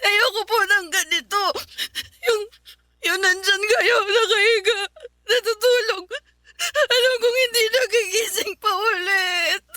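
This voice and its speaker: Very high-pitched anguished young daughter. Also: female voice, fairly even intonation, unhurried, dry recording, full character voice. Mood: grieving, sobbing. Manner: wailing, pleading delivery. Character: anguished young daughter pleading for her mother. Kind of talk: Filipino radio drama acting. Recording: loud background music.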